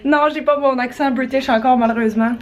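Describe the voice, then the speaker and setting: Bubbly high-voiced Québécois woman vlogger. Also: fairly even intonation, fast, a little hiss or hum. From Canada. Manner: chatty, bubbly, laughs often. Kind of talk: casual travel vlog.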